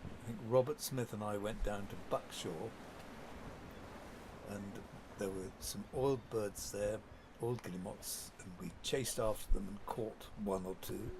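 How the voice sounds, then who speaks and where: A man's voice: fairly low pitch, measured pace, noisy background. An older man reminiscing in an interview, telling rambling, anecdotal stories from his past. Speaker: documentary subject.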